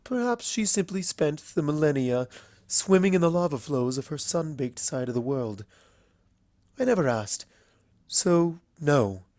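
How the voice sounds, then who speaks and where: A woman voicing an ancient regal alicorn princess in a storybook reading, aloof and coolly wry, with a stately, formal, measured delivery. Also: deep voice, warm tone, no echo.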